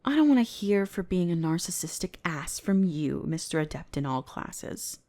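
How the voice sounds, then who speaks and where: A woman's voice: lowish voice, big pitch swings, moderate pace, mellow. A woman playing a bratty, haughty female elf mage, bossy, exasperated and condescending, in a scripted roleplay audio drama. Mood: exasperated scolding.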